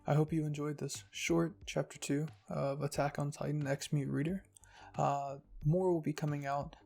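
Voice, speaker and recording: A narrator with a husky, creaky voice reading fan fiction in a soft scripted storytelling style. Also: mid-range voice, fairly even intonation, normal speed. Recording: music behind the voice.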